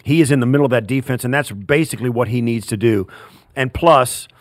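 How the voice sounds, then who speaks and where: A man's voice: medium pitch, brisk, no echo, clean studio sound. A man, a former player, giving casual, unscripted football analysis with frequent fillers.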